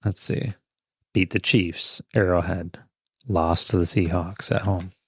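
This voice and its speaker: A man with a fairly low voice co-hosts a casual sports podcast. He talks conversationally in short, loose phrases, and the sound is close and dry. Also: fairly even intonation, unhurried, a bit bright, slightly rough, no echo. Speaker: podcast co-host.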